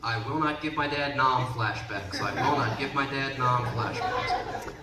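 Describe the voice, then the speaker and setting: A man in his twenties from the Baltimore area, doing a brash, punchy stand-up set on a comedy club stage and sometimes shouting. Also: medium pitch, measured pace, over a noisy crowd. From Baltimore area, Maryland. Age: 20s. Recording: roomy, distant, noisy club recording.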